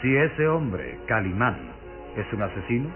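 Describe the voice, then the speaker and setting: An actor playing a sinister, coldly courteous aristocratic count in a radio drama, suave, measured and theatrical. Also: male voice, fairly low pitch, very animated. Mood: suave, guarded. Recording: tinny lo-fi vintage radio recording with music.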